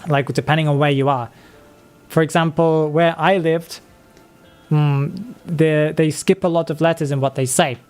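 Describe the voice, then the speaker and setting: A man's voice: fairly high pitch, steady tone, normal speed, a little mellow, soft background music. A man in his twenties chatting casually on a live game stream, reading chat and laughing often. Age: 20s.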